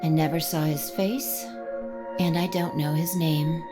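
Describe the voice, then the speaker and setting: An actress playing a composed, knowing young woman in a video game, speaking in a measured, matter-of-fact, slightly teasing way. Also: low voice, fairly even intonation, over music. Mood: earnest, reflective.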